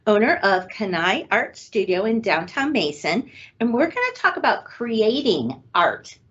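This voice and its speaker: A woman's voice: mid-range voice, big pitch swings, a little slow, fairly bright tone. A woman hosting a local talk show, upbeat as she introduces her guest. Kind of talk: casual chat. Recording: dull lo-fi sound.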